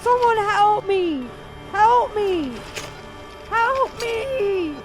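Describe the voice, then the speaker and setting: A woman playing a trapped, distressed and frustrated woman in an audio drama, speaking in halting exclamations. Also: extremely high pitch, lively intonation, heavily acted, low-quality recording. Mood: frustrated, desperate. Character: trapped, panicked, frustrated woman pinned under wreckage. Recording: music behind the voice.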